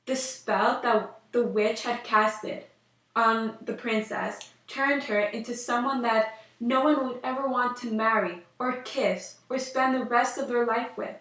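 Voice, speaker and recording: Young female storyteller, scripted fairytale narration, high voice, recorded at a distance from the mic. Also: a bit bright. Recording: roomy echo, distant microphone.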